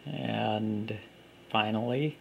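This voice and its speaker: A man giving a plain, unhurried walkthrough of an app, recorded on a camera mic indoors at a table. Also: lowish voice, gravelly, dull audio, a little hiss or hum. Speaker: app developer demonstrating his app. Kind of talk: casual product demo.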